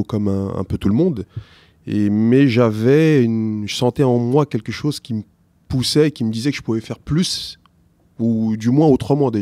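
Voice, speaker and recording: A middle-aged man whose first language is not French, answering interview questions as a teacher, slowly and hesitantly with many restarts. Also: on the low side, warm tone, no echo.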